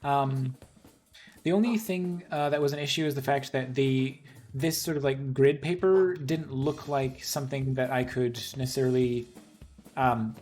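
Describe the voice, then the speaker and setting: A man's voice: mid-range voice, a little slow, darkish tone, faint music behind. A man with a Canadian accent hosting a casual livestream interview in a chatty, reassuring manner. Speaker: host interviewing game developers.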